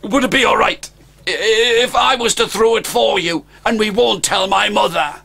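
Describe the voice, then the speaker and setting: A man's voice: sits high, moderate pace, gravelly, pushed and bright, voice pushed up, full character voice. A man voicing a big, gullible young dragon boy who whines and pleads. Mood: pleading.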